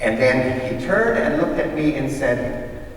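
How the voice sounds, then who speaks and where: A man telling folksy stories in a conversational, rambling way, pausing as he goes, in a staged reading. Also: mid-range voice, animated, lightly acted. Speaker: readers theater performer. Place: large hall, live stage reading. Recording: echoey hall from far off, faint noise.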